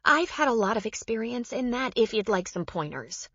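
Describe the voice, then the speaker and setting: A woman's voice: on the high side, very animated, normal speed, a bit bright, slightly rough, no echo, lo-fi sound, pitched above the performer's own voice, full character voice. A woman narrator voicing a frank, consoling mother in an audiobook, earnest and pressing. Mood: wry.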